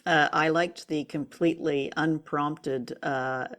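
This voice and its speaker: A woman's voice: deep voice, steady tone, slow, a bit bright. A woman co-hosting a casual review chat, talking in a thoughtful, lightly teasing way. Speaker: co-host of a radio drama review show.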